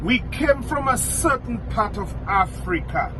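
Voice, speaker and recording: A man's voice: very high-pitched, lively intonation, a little slow, noisy background. A middle-aged man from Dominica with a Dominican accent, speaking bluntly and repeating his points for emphasis. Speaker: vlogger. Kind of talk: outspoken direct-to-camera commentary.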